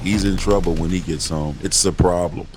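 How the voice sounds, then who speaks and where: A man's voice: low voice, fairly even intonation, fairly quick, over music. A middle-aged man making knowing, joking remarks about a husband in trouble. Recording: crowd chatter behind. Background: crowd chatter.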